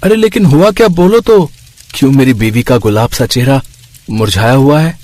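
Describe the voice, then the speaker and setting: A man playing a placating, scheming village husband with a slightly husky voice in a narrated story. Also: medium pitch, moderate pace, a little mellow, lightly acted. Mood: concerned. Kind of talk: acted dialogue in a narrated story.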